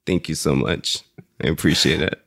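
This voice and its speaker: Deep-voiced soft-spoken male guest. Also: moderate pace, creaky, no room sound, studio quality. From Mexico. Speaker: interview guest, mental health advocate. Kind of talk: reflective interview answers.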